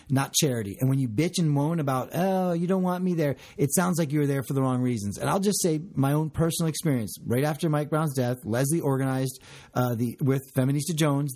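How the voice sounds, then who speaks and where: A man co-hosting a discussion, talking passionately and emphatically, with a loud voice. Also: mid-range voice, a little fast. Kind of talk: casual discussion.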